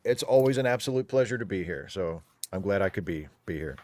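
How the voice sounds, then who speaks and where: A man's voice: low voice, expressive, a little fast, a little mellow. A man giving his opinions in casual panel chat as a guest, building his argument emphatically. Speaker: returning guest commentator on a live show. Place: remote video call.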